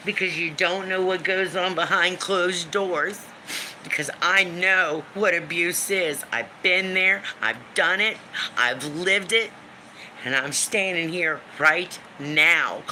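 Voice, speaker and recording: A woman in her fifties with a deep smoker's voice, venting on a live stream in a blunt, emphatic way, swearing freely and repeating lines for emphasis. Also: slow, sharp tone, slight background noise. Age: 50s. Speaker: livestream host. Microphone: phone or webcam for a live stream.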